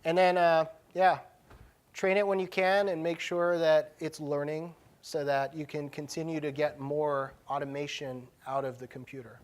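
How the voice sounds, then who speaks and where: Man, slightly high voice, conference tech demo, casual delivery, slangy and informal wording. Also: little pitch movement, moderate pace. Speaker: conference presenter, technology director. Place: live conference session room.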